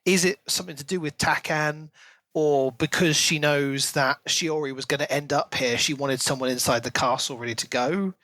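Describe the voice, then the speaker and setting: A man's voice: fairly high pitch, steady tone, normal speed, bright, forceful tone, no room sound. A man co-hosting a podcast, talking through a book in a casual, discursive way and slipping into little acted-out quotes.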